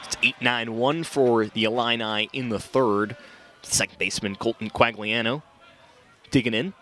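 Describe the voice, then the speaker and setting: A man calling live baseball play-by-play, reciting stats in measured phrases. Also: mid-range voice, steady tone, pushed and bright, a little hiss or hum. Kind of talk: live sports commentary.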